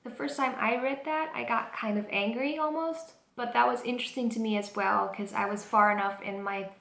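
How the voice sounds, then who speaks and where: A young woman talks in an earnest, open way for her personal vlog, her voice sitting a little high. She chats along with plenty of filler words. The sound rings off the walls of an echoey room. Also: a little fast, gravelly, a bit bright. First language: Dutch. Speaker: vlogger.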